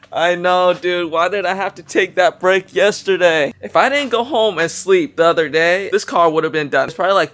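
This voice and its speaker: Young dude vlogging from a garage, kinda high voice, hypes everything up, lots of slang. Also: male voice. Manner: excited, slangy, hypes things up. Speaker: car vlogger. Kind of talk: casual vlog talk to camera.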